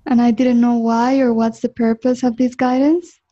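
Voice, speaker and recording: A woman interpreting a guest's words in short consecutive chunks, with an even, plain delivery. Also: on the high side, measured pace. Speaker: interpreter into English. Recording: muffled, dull sound.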